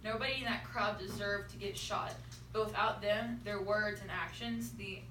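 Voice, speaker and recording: A woman's voice: mid-range voice, steady tone, normal speed, sharp tone. A young teenage girl reading scripted narration aloud for a school play, recorded in a classroom. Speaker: student narrator. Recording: echoey classroom, far from mic, noisy.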